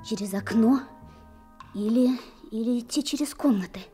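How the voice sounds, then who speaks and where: A woman playing a timid, secretive young boy in a radio drama, with anxious, hushed delivery. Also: fairly high pitch, normal speed, soft background music, lightly acted. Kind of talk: Soviet radio drama acting.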